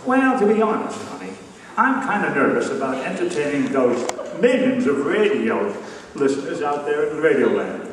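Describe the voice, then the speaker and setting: A man's voice: on the high side, sing-song, moderate pace. A man playing a hapless, nervous husband in an old-time radio comedy, with a theatrical delivery on a theater stage. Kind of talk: 1940s radio comedy acting. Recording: echoey hall from far off, noisy.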